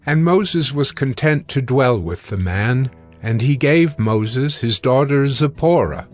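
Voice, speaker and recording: Male scripture narrator over soft music. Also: medium pitch, lively intonation, takes it slowly.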